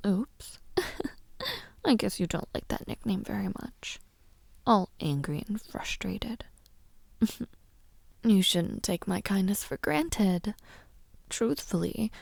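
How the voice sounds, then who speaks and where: A woman playing a haughty young villainess princess in an audio roleplay, mockingly sweet and menacing, teasing and condescending in a breathy near-whisper. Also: lowish voice, dry recording, heavily acted. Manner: slow, teasing, condescending, with soft taunts. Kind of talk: audio roleplay addressed to the listener.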